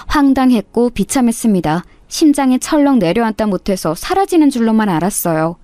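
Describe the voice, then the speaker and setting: A woman's voice: a little high, dark tone, no echo. A woman narrating a scripted first-person story in a dramatic storytelling style.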